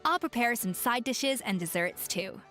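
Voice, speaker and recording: A woman's voice: on the high side, expressive, moderate pace, pushed and bright, soft background music. A woman playing a proud, exacting young gourmet chef in an anime game, composed, assured and precise. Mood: proud, decisive. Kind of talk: anime video game voice acting.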